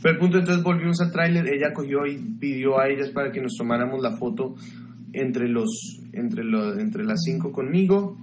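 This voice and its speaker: A young man from Ecuador livestreaming from a small bedroom, talking casually and gossiping as he answers viewers' questions. His voice sits at an ordinary middle pitch for a man. The webcam mic picks up a clear hiss of background noise. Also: normal speed. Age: young adult. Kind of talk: casual chat with live viewers. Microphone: webcam mic at desk.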